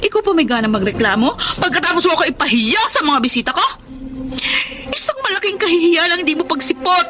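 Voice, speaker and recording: An actress playing a jealous, hot-tempered wife in a radio drama, scolding with a sharp, sarcastic tongue. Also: female voice, very high-pitched, sing-song, fast, noisy background. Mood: furious. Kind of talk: Tagalog radio drama acting.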